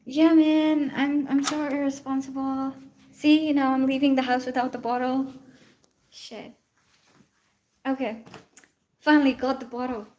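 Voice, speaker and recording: Young woman, native Urdu speaker, casual laughing stream chatter, slightly high, walking outdoors on a phone with heavy background noise. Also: flat delivery, slow pace, long pauses, darkish tone. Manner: chatty, laughing, self-teasing, switches into Hindi and Punjabi. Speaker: vlogger streaming live. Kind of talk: casual live-stream chat while walking. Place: outdoors at night, walking around her building.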